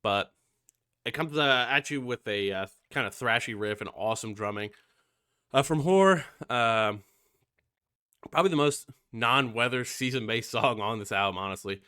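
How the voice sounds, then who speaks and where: A man's voice: medium pitch, normal speed, sharp tone, clean tone, no echo, clean studio sound. A young man with a stuffy, nasal voice from being sick, casually reviewing a metal album with lots of fillers. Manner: rambling, many fillers and swearing. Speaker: music reviewer.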